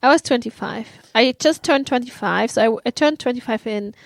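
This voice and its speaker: A woman's voice: on the high side, fairly quick, vocal fry, no room sound. A woman in her thirties hosting a podcast, chatty and giggly in casual banter. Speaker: podcast host.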